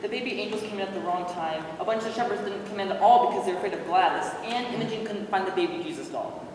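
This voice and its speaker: A woman's voice: medium pitch, fast, natural voice. A woman performing as a plain-spoken storyteller, narrating an amateur readers theater skit. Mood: storytelling. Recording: echoey hall from far off, noisy.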